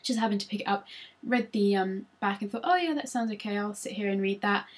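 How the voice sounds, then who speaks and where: A woman's voice: medium pitch, fairly quick. A woman, chatting casually through tag questions on her vlog with frequent ums, recorded on a laptop. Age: 20s. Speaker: vlogger.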